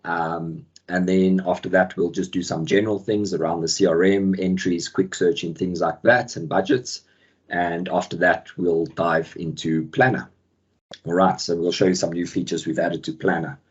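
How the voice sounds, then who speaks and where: A man hosting a software webinar over a video call, his voice croaky from the flu, talking in a relaxed way with many ums. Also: sits low, normal speed, muffled recording. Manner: relaxed, many ums, friendly filler words. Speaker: webinar host and product manager. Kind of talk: casual software webinar presentation. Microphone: video call microphone.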